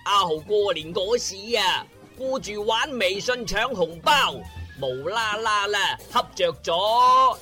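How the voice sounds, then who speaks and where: Male Cantonese narrator for comic radio drama: forceful high voice, wry, theatrical delivery. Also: faint music behind. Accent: Cantonese, Guangdong. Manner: animated, wry, drawn-out phrases with interjections. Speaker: storyteller narrator of an audio drama. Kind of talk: dramatic comic scripted storytelling.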